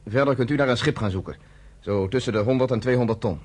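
A man's voice: medium pitch, fast talker, a little mellow, husky, natural voice. A man in his thirties playing a blunt, commanding underground leader in a radio play. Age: 30s.

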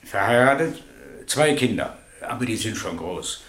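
An animated railway witness in his fifties. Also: lowish voice, unhurried. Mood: plain, eager. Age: 50s. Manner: clipped, colloquial, matter-of-fact storytelling. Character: plain-spoken 56-year-old railway crossing keeper giving eyewitness testimony. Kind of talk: courtroom radio drama acting. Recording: roomy, echoing radio drama studio.

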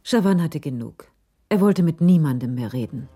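A woman's voice: low-pitched, expressive, moderate pace, warm tone, husky. A woman reading scripted narration for a German radio crime drama. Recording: faint music under the voice.

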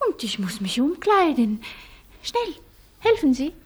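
A woman's voice: sits high, sing-song, a little slow. An actress in a German radio play playing a flighty, anxious, romantic lady with a theatrical, fussy manner. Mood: flustered.

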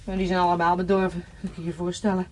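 An actress playing a restless, distrustful and wistful wife in a Dutch radio play, with a needling, musing way of talking. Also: female voice, fairly low pitch, level tone, very fast, close to an everyday voice. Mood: scornful. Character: restless, thirsty wife scavenging an abandoned house, distrustful and wistful.

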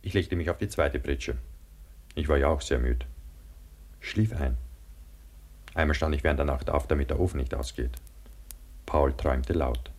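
A haunted survivor narrating a radio drama. His voice is extremely deep and husky. He tells his ordeal gravely and broodingly, in short, halting phrases. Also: darkish tone. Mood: grave, subdued.

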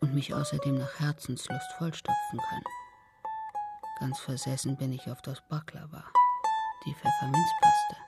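A woman's voice: rumbling low, level tone, normal speed. An actress playing a fat, talkative, greedy peddler woman in a theatrical German radio play, sometimes dropping to a whisper. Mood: chatty, self-pitying. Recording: radio play with loud plucked-string music behind.

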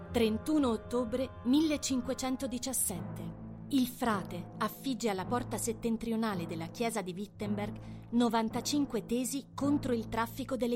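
A woman with a fairly high voice narrates a historical radio drama. She reads the scripted chronicle in deliberate, declarative phrases, with music playing underneath.

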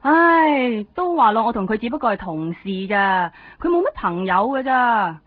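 A woman's voice: fairly high pitch, expressive, normal speed, natural voice. A woman playing an exasperated, reluctant office worker with a Hong Kong Cantonese accent in a radio drama, sighing and dismissive. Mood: reluctant, fed up. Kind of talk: naturalistic radio drama acting. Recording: thin phone-like radio drama sound.

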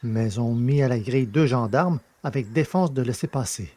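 A man reading scripted literary narration as an audiobook narrator, with a mellow, slightly husky voice. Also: mid-range voice, moderate pace, dry recording.

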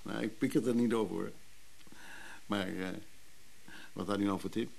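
An actor playing an apologetic, ingratiating antiques hustler in a radio play, smooth but hesitant. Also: male voice, fairly low pitch, steady tone, normal speed, darkish tone, exaggerated voice. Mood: smooth, placating.

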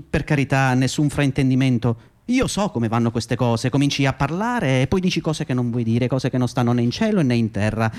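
An actor playing a condescending, self-justifying labour agency manager in a radio drama, with patronising, hesitant, repetitive speech. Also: male voice, medium pitch, a little mellow, close to an everyday voice. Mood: defensive, flustered. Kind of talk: radio drama monologue and dialogue.

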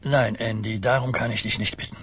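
A man playing an old, dignified mafia godfather in a radio crime drama, weary and grave, speaking in a measured, husky voice. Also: fairly low pitch, steady tone, a bit bright. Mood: moved. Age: older.

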